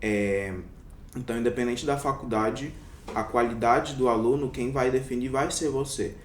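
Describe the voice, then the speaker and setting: Young adult man from Brazil, interview guest; hesitant and reflective, mid pitch, a bit distant from the mic in a roomy space. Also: fairly even intonation, normal speed. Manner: reflective, many hesitations and fillers. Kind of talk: casual interview. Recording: echoey room, somewhat off-mic, faint rumble.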